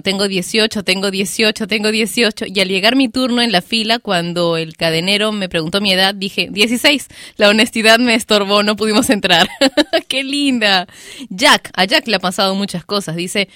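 A female radio host with a bright, sharp voice, chatting through listener messages and giggling as she goes. She is close on a studio microphone, and the sound is dry and close. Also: medium pitch, normal speed, no echo. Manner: chatty, giggles between stories. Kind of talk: casual radio chat reading listener messages.

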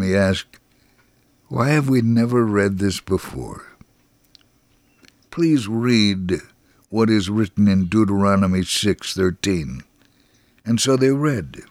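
A man giving a solemn, measured dramatic reading of a first-person devotional story. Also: lowish voice, no room sound, clean studio sound.

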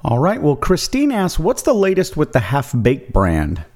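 Male moderator for an investor conference call, very animated intonation, relaying listener questions. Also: on the high side, normal speed. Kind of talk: reading out shareholder questions.

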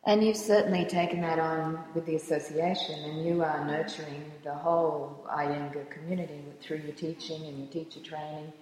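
A woman interviewer asking gentle, encouraging questions. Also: low voice, steady tone, moderate pace, few pauses. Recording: very echoey room with long reverb.

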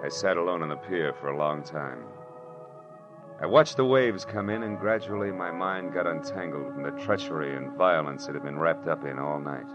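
An American actor playing a shrewd, world-weary private detective in 1940s radio drama, with a clipped, wry, emphatic delivery. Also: male voice, very deep voice, normal speed. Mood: weary, reflective. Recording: muffled old radio broadcast, music behind.

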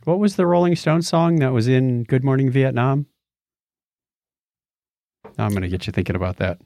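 A man's voice: mid-range voice, moderate pace, a little mellow, no room sound, studio quality. A man co-hosting a podcast in casual chat, with a wry, joking way of putting things. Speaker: podcast co-host. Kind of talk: casual chat about shows, films and music.

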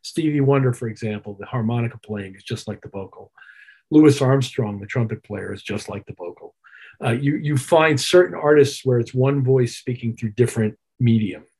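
A man's voice: mid-range voice, moderate pace, a little mellow, slightly rough, poor audio. A man speaking as a musician guest in an interview, reflective and conversational, repeating phrases for emphasis. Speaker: interview guest, keyboard player.

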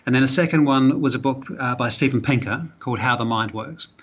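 A man with a New Zealand accent talks through ideas at length, pausing on fillers and restarting phrases. His voice is husky and mid-pitched. The sound is muffled and lo-fi, like a remote call. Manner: thoughtful, explanatory, with frequent fillers. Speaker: guest, author and psychology professor. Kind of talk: podcast interview.

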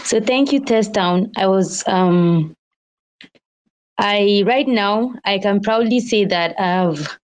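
A woman's voice: medium pitch, a little hiss or hum, poor audio. A woman guest artist, not a native English speaker, talking earnestly in a casual online chat. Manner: earnest and grateful, with small laughs. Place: online audio space.

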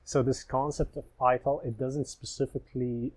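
A man's voice: mid-range voice, little pitch movement, normal speed, poor audio. A man hosting a podcast interview, curious and engaged, asking follow-up questions. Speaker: podcast host. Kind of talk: casual interview chat.

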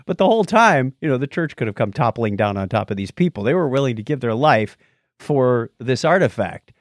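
A man co-hosting a podcast in casual conversation, animated, often stammering and restarting his phrases. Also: mid-range voice, fairly quick, no echo, studio quality. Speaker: podcast co-host.

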